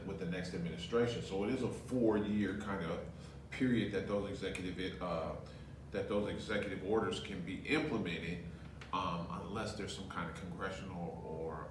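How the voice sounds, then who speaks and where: A man from California, a podcast guest, talking politics in a measured, explanatory way. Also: low voice. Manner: measured and explanatory, with occasional hearty laughter. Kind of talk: casual political chat. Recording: echoey room, mic far off, background noise.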